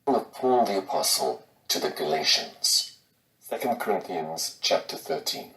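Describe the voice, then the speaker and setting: A man giving a solemn scripture reading in a measured, formal delivery. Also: deep voice, lively intonation, fairly bright tone, rough recording. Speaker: scripture reader.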